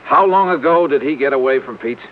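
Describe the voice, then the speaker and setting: An actor playing a tough, decisive American investigator in an old-time radio drama, brisk and in charge. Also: male voice, medium pitch, close to an everyday voice. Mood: firm. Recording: muffled old radio recording, faint hiss.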